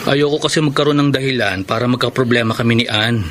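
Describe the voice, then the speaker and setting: An actor playing an earnest young boyfriend in a Filipino radio romance, speaking sincerely and emphatically. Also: male voice, mid-range voice, quick pace, fairly bright tone, slight background noise, natural voice. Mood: confident, hopeful. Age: young adult. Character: earnest young boyfriend, devoted but easily exasperated. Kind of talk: Tagalog radio romance drama acting. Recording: music bed under the voice.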